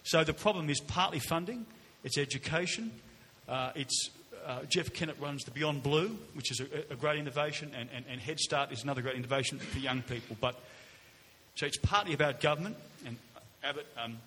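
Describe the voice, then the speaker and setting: A middle-aged Australian man with a loud voice, answering as a politician at a public forum, repeating words haltingly. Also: on the high side. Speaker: politician on a panel. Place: large indoor hall, public forum. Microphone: shared event microphone. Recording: echoey hall with background noise.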